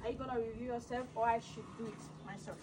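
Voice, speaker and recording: A woman's voice: fairly high pitch, steady tone, fast talker, a crowd in the background, close to an everyday voice. A woman playing a young girlfriend who questions and scolds strangers and insists she is innocent. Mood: suspicious. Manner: indignant, confrontational. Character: defiant young girlfriend accused of being a spirit.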